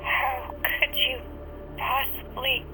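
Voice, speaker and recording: A woman plays a wounded, defiant warrior woman in an audio drama. Her high voice drags out halting, strained words very slowly. A musical score runs under her. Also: level tone, over music, poor audio. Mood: pained disbelief. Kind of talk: fan audio drama acting.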